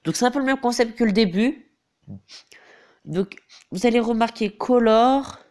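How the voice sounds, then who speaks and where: A man's voice: extremely high pitch, moderate pace, a little mellow. A teenage boy with a head cold talks through a game tutorial over the screen. He hesitates often and keeps falling back on the same filler phrases. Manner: hesitant, filler-heavy, repeats "donc voilà". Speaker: gaming YouTuber.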